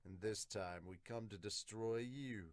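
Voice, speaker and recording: A man's voice: fairly low pitch, measured pace, dry recording, close to an everyday voice. A man voicing a cold, vengeful male elf with solemn menace in a manga dub.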